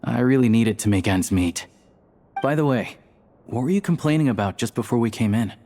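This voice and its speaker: A man's voice: medium pitch, lively intonation, brisk, warm tone, slight background noise, natural voice. A man voicing an easygoing, level-headed young member of a secret society in a video game, explaining things casually. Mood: casual, resigned.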